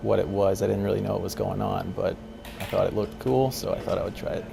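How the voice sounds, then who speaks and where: A man's voice: low voice, little pitch movement, fast. A man from the United States working as an air traffic controller, giving casual, plain-spoken interview answers about his job. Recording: clear ambient background noise.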